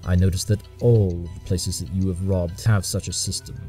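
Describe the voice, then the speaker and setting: A man's voice: low voice, steady tone, moderate pace, mellow, soft background music, lightly acted. An actor playing a brilliant, imperious detective in an audio drama, condescending and impatient as he lays out deductions. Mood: impatient, accusing. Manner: clipped deductive monologues, condescending.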